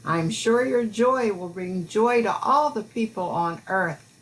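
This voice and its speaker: A woman playing a kindly, motherly wife of Santa, gentle and encouraging, in an amateur Christmas radio play. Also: mid-range voice, animated, takes it slowly, rough recording. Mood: fond, hopeful.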